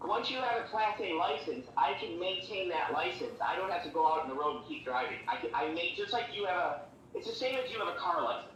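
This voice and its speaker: A man who works as a truck dispatcher, a guest in a heated casual debate, defensive and quick to interrupt. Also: high-pitched, lively intonation, a bit bright, roomy sound, far from the mic, a little hiss or hum, poor audio.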